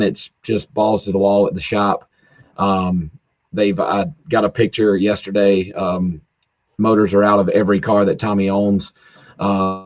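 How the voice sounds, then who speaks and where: A hoarse man talks shop about racing in a loose, rambling way, his sentences restarting as he goes. His voice sits low and the call audio is dull and lo-fi, with a dry sound and no room around it. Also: no echo. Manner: rambling, with many fillers and restarts. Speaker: drag racer guest. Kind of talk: casual podcast chat. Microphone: video call, home internet.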